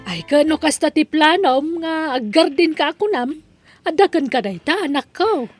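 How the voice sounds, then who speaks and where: A woman's voice: high voice, lively intonation, normal speed, soft background music. A woman playing a mother who chides her grown son and then gives advice. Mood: chiding.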